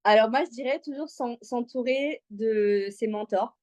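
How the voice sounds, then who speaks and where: A woman's voice: a little high, measured pace, a little mellow. A talkative woman from Congo, telling personal stories informally and with slang as an interview guest. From Congo, moved to Belgium aged six. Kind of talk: casual personal storytelling in an interview.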